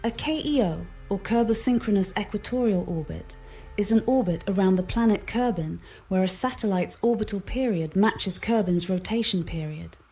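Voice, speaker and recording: A synthetic woman's voice as a helpful AI co-pilot, slightly husky, giving formal, orderly explanations. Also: medium pitch, normal speed, mellow. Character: helpful female AI spaceflight co-pilot. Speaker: AI co-pilot assistant. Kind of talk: scripted explanatory assistant speech. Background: music.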